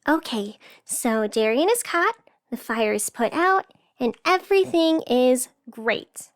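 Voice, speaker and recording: A very high-pitched, chatty radio host played by a woman reports live in an audio drama podcast. The delivery is informal and frazzled, with an exasperated edge. The sound is dry and close. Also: lively intonation, takes it slowly, dry recording. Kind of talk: fiction podcast broadcast narration.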